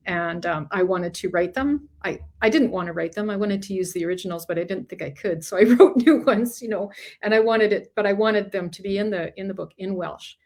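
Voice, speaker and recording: A middle-aged woman from Canada, a guest author chatting casually in an interview, often restarting sentences and laughing between thoughts. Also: fairly low pitch.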